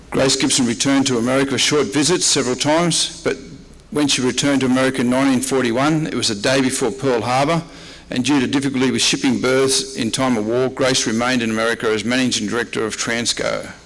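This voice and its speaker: Australian man, historian at the podium, prepared lecture delivery, mid-pitched voice, long hall reverb. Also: steady tone, brisk, a bit bright. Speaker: historian and archivist giving a talk. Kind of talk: prepared lecture, largely read aloud. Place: large indoor convention room.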